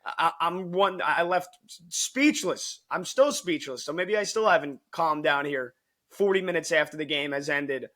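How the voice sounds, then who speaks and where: An American man co-hosts a sports podcast, talking in an excited, emphatic way and often restarting his sentences. His voice sits a little higher than most men's and sounds worn and a bit hoarse from shouting. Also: unhurried. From United States. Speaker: podcast co-host.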